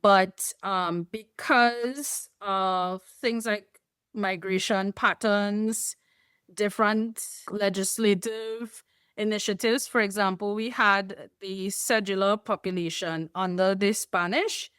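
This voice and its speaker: Slow, smooth Trinidadian woman. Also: medium pitch, fairly even intonation, fairly bright tone, no echo, clean studio sound. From Trinidad and Tobago. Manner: explanatory, measured, with light laughs. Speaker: guest. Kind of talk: podcast interview.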